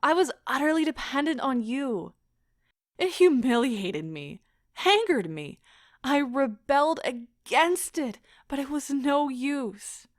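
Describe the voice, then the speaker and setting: An actress plays a wounded woman in a romantic audio drama, pleading with theatrical intensity. Her voice is high and she takes her words slowly, weighing each one with impassioned feeling. The sound is close and dry. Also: big pitch swings, no echo, heavily acted. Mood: bitter, aggrieved. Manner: theatrical, impassioned, deliberate delivery. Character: anguished, pleading woman leaving a broken love affair. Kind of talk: stage-style audio drama acting.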